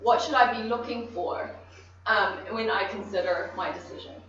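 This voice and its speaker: A woman with a New Zealand accent giving a technical conference presentation, measured and explanatory with frequent ums. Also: medium pitch, background noise. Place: conference room. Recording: echoey room, distant mic, dull.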